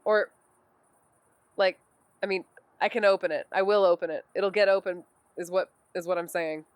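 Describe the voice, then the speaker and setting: An actress plays a cocky young woman sleuth in a mystery audio drama. She has a clear, smooth voice in a close, dry recording. She is playful and glib, rambling on as she overexplains. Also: lowish voice, fairly even intonation, moderate pace, no room sound, lightly acted. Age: 20s. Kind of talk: naturalistic audio drama banter.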